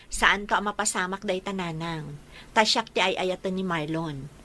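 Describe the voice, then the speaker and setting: A woman's voice: fairly low pitch, unhurried, pushed and bright, slight background noise. A woman with a Filipino accent playing a bashful, protesting young daughter who is being courted in a radio drama. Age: young adult. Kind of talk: Philippine radio drama acting.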